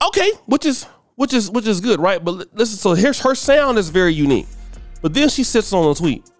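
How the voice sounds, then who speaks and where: A middle-aged man hosting a commentary channel, giving a blunt, preachy opinion monologue that climbs in pitch when he gets worked up. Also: big pitch swings, fairly quick, no room sound, soft background music.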